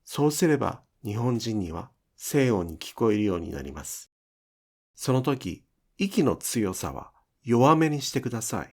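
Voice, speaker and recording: A man's voice: mid-range voice, sing-song, a little slow. A man giving scripted instructional narration as a pronunciation teacher, enunciating carefully step by step.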